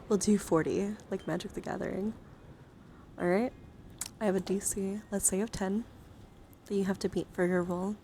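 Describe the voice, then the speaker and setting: A woman livestream host chatting casually, often dropping into soft murmurs and whispers. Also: medium pitch, vocal fry. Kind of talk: casual live-stream chat. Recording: steady background noise.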